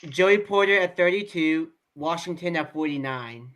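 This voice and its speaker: A man's voice: a little high, animated, moderate pace, a bit bright. A man chatting casually as a panelist on a sports show. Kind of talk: casual chat.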